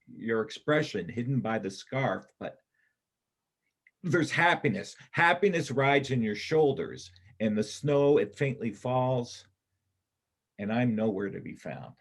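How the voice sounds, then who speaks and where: A man playing a doubtful, wistful bank robber on the run in a reader's theater play, speaking in a hesitant, halting way. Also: medium pitch, animated. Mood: wistful, dreamy. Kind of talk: reader's theater stage acting.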